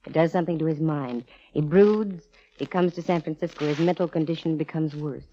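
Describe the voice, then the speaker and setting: Actress as a wry lady detective from 1940s radio, low voice, self-assured, dull hissy old recording. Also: fairly quick, dark tone, close to an everyday voice. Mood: confident, wry. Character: confident, wisecracking female private detective.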